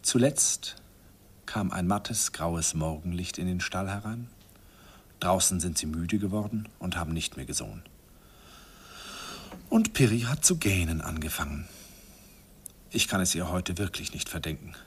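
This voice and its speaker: Older man looking back, very low voice, wistful and self-ironic, radio play narrator. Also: unhurried, pauses often, breathy edge, full character voice. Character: grown man fondly recalling his youth, self-mocking.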